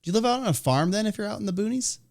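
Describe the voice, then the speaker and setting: A man's voice: high voice, lively intonation, very fast, darkish tone. A young Canadian man with a partly American accent, hosting a casual chat and laughing often. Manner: chatty, laughs often, restarts. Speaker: podcast host.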